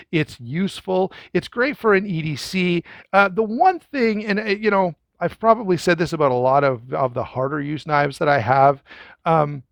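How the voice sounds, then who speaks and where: A man talks through a knife review in a loose, conversational way, often restarting and filling pauses. His voice sits a little high for a man. The recording is dry, with no room echo. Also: gravelly. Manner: conversational, many fillers and restarts; addresses viewers directly. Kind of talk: casual first-impression review.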